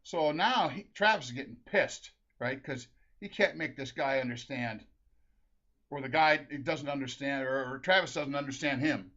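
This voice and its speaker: A man's voice: mid-range voice, moderate pace, bright, forceful tone. A middle-aged man from Minnesota telling a rambling family anecdote, laughing often and restarting his sentences. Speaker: storyteller. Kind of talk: casual anecdote. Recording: dull lo-fi recording.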